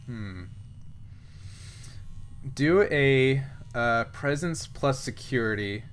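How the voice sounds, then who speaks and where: A man acts as game master for a tabletop sci-fi game. He talks casually and haltingly at a middling pitch, pausing to find words, with some noise in the background. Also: lively intonation.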